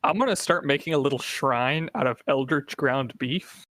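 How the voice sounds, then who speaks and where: A man's voice: medium pitch, normal speed, fairly bright tone, no echo, studio quality. A man playing a tabletop game, joking around with the group in casual banter. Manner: joking, playful. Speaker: player.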